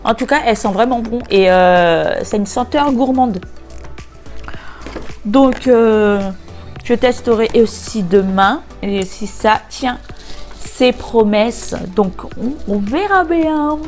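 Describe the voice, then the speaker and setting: A woman talking slowly in a fairly high voice, stuffy and nasal from a cold. She unboxes beauty products in a relaxed, hesitant chat, with many pauses and filler sounds. Faint music plays underneath. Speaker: beauty vlogger.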